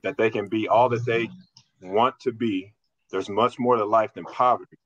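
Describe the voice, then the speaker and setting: A man with a lowish voice hosts a podcast in a playful, teasing way full of hip hop slang. The sound is dry and close. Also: steady tone, normal speed, no echo, muffled recording. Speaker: podcast co-host. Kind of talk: casual podcast chat.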